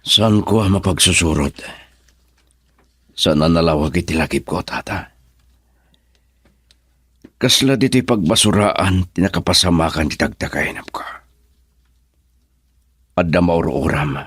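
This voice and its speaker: An actor playing a troubled, confused man shaken by a nightmare in an Ilocano radio drama, speaking haltingly. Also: deep voice, a bit bright, husky, no echo, studio quality, heavily acted. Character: troubled man waking from a nightmare, memory lost.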